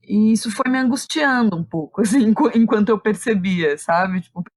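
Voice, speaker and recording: A woman's voice: medium pitch, moderate pace, darkish tone, no room sound. Casual São Paulo Brazilian woman, close dry sound. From Santo André, São Paulo, Brazil. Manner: informal, conversational, uses frequent tag questions. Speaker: guest, theatre director and playwright. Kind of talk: casual interview chat.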